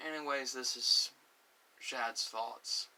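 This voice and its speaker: Bright-voiced man musing, distant hissy mic. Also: medium pitch, steady tone, takes it slowly. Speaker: host of an ASMR channel. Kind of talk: rambling ASMR music talk.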